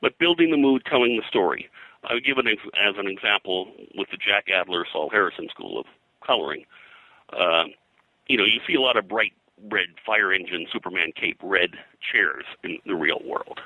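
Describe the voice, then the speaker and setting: An older man with a very low voice and an extremely bright, hard-edged tone. He talks as a veteran interview guest, explaining and reminiscing in a discursive way, often rephrasing as he goes. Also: expressive, moderate pace, no room sound, poor audio. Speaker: interview guest, veteran comic book colorist.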